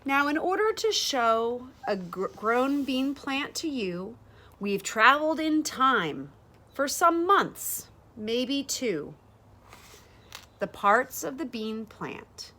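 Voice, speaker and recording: Woman, thirties, picture-book reader for kids. Animated intonation, higher voice, deliberate delivery. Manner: deliberate, expressive phrasing for children. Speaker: educator reading a picture book aloud. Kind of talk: children's read-aloud of rhyming verse. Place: bright sunroom with large windows, indoors.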